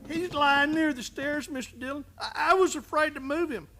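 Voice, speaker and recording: An actor playing a nervous, deferential deputy in a Western radio drama, hesitant and stammering. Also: male voice, very high voice, moderate pace, loud music behind the voice. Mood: frightened, urgent.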